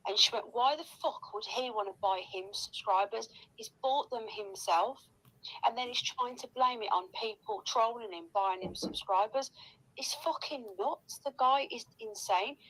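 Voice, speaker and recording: A woman's voice: fairly high pitch, normal speed, fairly bright tone, poor audio. A woman recounting gossip casually, sweary and indignant.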